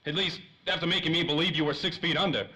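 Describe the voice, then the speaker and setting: A man's voice: on the high side, fairly even intonation, quick pace, sharp tone, low-quality recording. A man playing an impatient, wisecracking, slangy tough guy in 1930s radio drama style. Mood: impatient, sarcastic. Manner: slangy, snappy. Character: hard-boiled, impatient, wisecracking male 1930s detective type. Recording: faint noise, light ambience.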